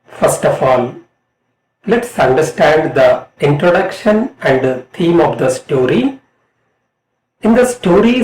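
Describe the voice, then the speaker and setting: A middle-aged man with an Indian accent reading a scripted lesson as an English lecturer, in a deliberate, emphatic way. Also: high voice. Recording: roomy, echoing voice.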